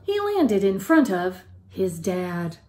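A middle-aged woman reading a picture book aloud to young children in an expressive teacherly way. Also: mid-range voice, slow pace. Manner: expressive, questioning, child-directed delivery. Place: living room at home, indoors.

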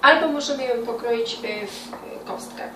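A middle-aged woman explaining a recipe to camera informally, in a halting way with pauses mid-sentence. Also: a little high. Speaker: home cooking show host. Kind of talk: informal cooking tutorial to camera. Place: small home kitchen by a window, indoors. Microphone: camera on counter a step away. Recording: distant mic, echoey room, loud kitchen noise.

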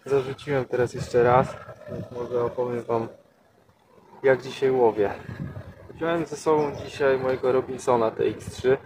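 A man's voice: mid-range voice, steady tone, unhurried. A young man casually talking through his fishing session outdoors by a canal, explaining his gear step by step. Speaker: angler vlogger. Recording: outdoor noise, nature sounds behind.

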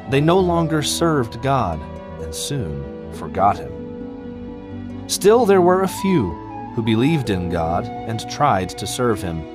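Slow, expressive male storyteller over music. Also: mid-range voice. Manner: measured, expressive storytelling with careful phrasing. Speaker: narrator. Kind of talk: scripted children's Bible story narration.

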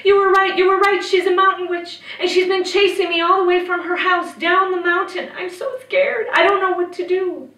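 A woman storyteller plays a frightened young boy from a folktale. His voice is high, anxious and pleading. It is heard with room echo, a little away from the microphone. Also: steady tone, moderate pace, hardly any pauses, pushed and bright. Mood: frantic pleading. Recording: roomy echo, distant microphone.

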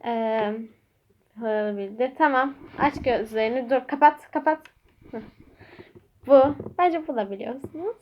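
A woman's voice: high-pitched, measured pace, long pauses, dull audio, a little hiss or hum. A woman chatting casually as she hosts a homemade quiz game and speaks to viewers. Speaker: vlog host quizzing a child.

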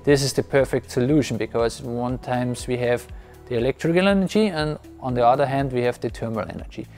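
A man talks through an installation in halting English, full of fillers and restarts. He speaks into a lapel mic in an equipment room, and faint music plays underneath. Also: medium pitch, a little mellow. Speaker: electrical installer. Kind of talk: interview.

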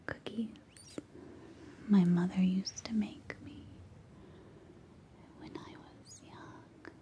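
A woman's voice: mid-range voice, steady tone. A young woman playing a tender, possessively protective witch in an ASMR roleplay, whispering breathily and intimately close to the mic. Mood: tender, entranced. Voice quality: breathy, hushed. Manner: slow, intimate, soothing. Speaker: ASMR performer. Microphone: close-up ear-to-ear microphone.

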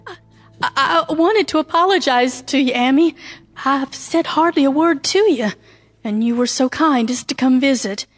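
An actress playing a frightened farm wife who pleads in a trembling, tearful voice in a radio drama. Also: female voice, high-pitched, normal speed, faint music behind, natural voice. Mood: desperate, tearful. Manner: pleading, trembling, breaks into sobs. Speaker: farmer's wife.

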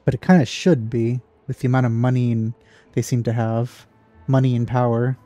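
Male gaming commentator, dark soft-edged tone, mid pitch, offhand and casual. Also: fairly even intonation, normal speed, dull audio, faint music behind. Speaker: let's player.

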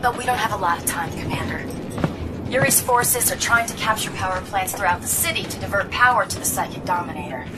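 An actress playing a confident young special agent in a game, with an informal, urgent manner. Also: female voice, medium pitch, fairly quick, distant mic, over loud music, close to an everyday voice. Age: young adult. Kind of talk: game cutscene acting.

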